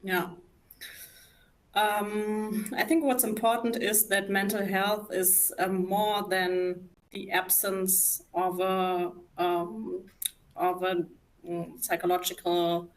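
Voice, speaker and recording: A woman whose first language is German speaks English with a German accent. She talks as a guest in a live conversation, picking her words with care and pausing on frequent fillers. Her voice sits at an ordinary middle pitch. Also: level tone, poor audio. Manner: thoughtful, hesitant, many fillers. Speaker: guest, former psychiatry worker.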